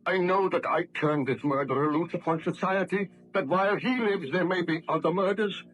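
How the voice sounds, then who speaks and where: An actor playing a desperate, guilt-ridden baron in an old radio drama, with impassioned, formal, theatrical diction. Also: male voice, high voice, normal speed, a bit bright, lo-fi sound. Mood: anguished. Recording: noisy vintage radio drama recording.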